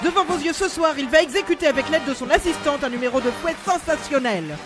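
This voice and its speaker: A man's voice: very high voice, lively intonation, fast. An actor playing a showy male circus ringmaster in an audio drama, announcing grandly in a projected, theatrical style. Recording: music behind the announcer.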